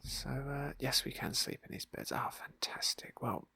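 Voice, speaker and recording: A man speaking in a soft, breathy hush, often near a whisper, narrating an ASMR roleplay game playthrough. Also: medium pitch, expressive, measured pace, no room sound. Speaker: ASMR gaming video host and roleplayer. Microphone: close microphone, dry.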